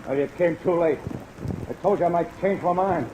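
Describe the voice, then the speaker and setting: A man performs an edgy, guilt-ridden suspect from a 1950s radio crime drama. He speaks in a halting, pleading, intense way. The old recording is clearly noisy, with rain behind him. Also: a little high, close to an everyday voice. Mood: desperate, agitated.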